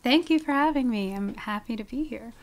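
Higher-voiced woman, casual interview guest. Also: brisk. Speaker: podcast guest, wildlife ecologist.

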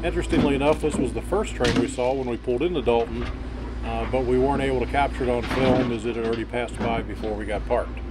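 A man narrating his rail trip video in a relaxed, matter-of-fact way. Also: mid-range voice, fast, very noisy. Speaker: railfan vlogger.

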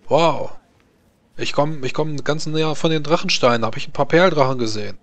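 A man's voice: fairly high pitch, a little fast, fairly bright tone, dry recording. A man voicing an eager, awestruck twelve-year-old boy in a tabletop game, hesitant and wide-eyed.

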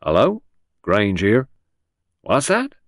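A man playing a shrewd police inspector in an audiobook, probing in a confidential, slightly gruff manner. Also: medium pitch, very animated, moderate pace, no room sound, clean studio sound. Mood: grunting, alert. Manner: easy, confidential, probing, grunting at times. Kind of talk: audiobook character reading.

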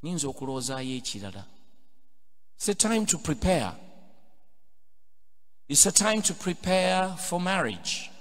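A middle-aged Ugandan priest preaching a homily from a pulpit microphone, switching between English and Luganda, building to emphatic, loud exhortations. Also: a little high, sing-song, a little slow, pauses often. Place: large cathedral, indoors at a pulpit. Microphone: pulpit microphone on a stand. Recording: long cathedral reverb.

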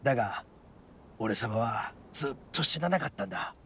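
An actor playing a brash, proud young male oni gang boss in a video game, with rough, cocky, emphatic speech. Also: medium pitch, expressive, moderate pace, bright, forceful tone, heavily acted. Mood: earnest, proud. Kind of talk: dramatic video game voice acting.

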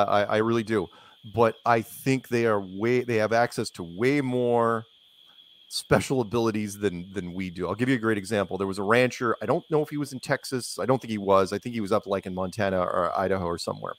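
Man giving an animated, rambling interview. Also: fairly low pitch. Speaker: interview guest. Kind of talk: casual opinionated interview talk.

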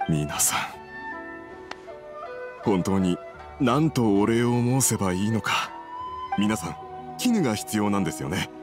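Animated, humble young merchant. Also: male voice, fairly low pitch, measured pace, pauses often, music in the background. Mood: humble, grateful. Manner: formal, deferential, earnest. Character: polite, grateful young male merchant, earnest and humble. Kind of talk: anime game dubbing.

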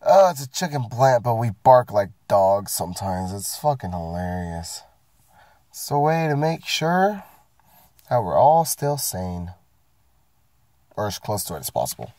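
A man's voice: fairly low pitch, very animated, measured pace, darkish tone, no echo, studio quality. A man, getting sick, ranting casually and profanely about his work week from inside his parked car. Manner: profane, rambling, self-mocking. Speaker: vlogger. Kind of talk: casual rant to camera.

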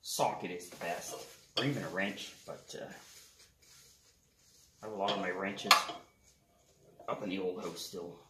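A middle-aged man with a lowish voice talks plainly through a repair as he works, his words loose and unhurried. The sound is roomy and echoing, with loud clanks of tools and metal close by. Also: heavy background noise. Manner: relaxed, talks while working on an engine. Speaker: garage mechanic host. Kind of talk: casual how-to repair demonstration. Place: open wood shed workshop. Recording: echoey with loud tool clatter.

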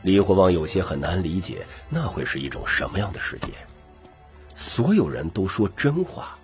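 A man's voice: low voice, sing-song, normal speed, a little mellow. A man narrating an audiobook in a scripted, dramatic storytelling style. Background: music.

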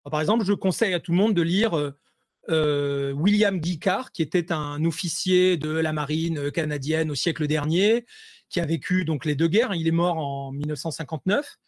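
A high-voiced man talks as an interview guest over a video call, his sound dry and close with no room around it. He stumbles through fillers and repeated words, then pushes hard and animated when he lands a point. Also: moderate pace, dry recording. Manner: hesitant, many fillers and restarts; animated, rising intensity when making points. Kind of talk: conversational interview analysis.

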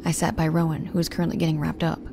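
A woman's voice: low voice, completely flat delivery, very fast, noisy background. A woman playing a guarded, protective young woman, narrating an audio drama in the first person in a restrained, brooding, stern manner. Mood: reflective.

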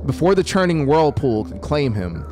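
A man's voice: fairly high pitch, big pitch swings, moderate pace. A man in his thirties narrating fiction in a theatrical, suspenseful manner, close to a studio microphone with music behind him. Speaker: audiobook narrator. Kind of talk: dramatic scripted narration over a soundscape. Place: small home music studio. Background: music soundscape.

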